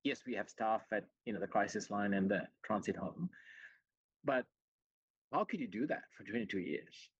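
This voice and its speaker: A man's voice: on the low side, normal speed, slightly rough. A middle-aged man from Sri Lanka who has long lived in Australia, answering interview questions earnestly and conversationally over a video call. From born in Sri Lanka, long resident in Australia. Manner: earnest, explanatory, frequent you-knows. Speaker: chief executive, interview guest. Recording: roomy, dull video-call audio.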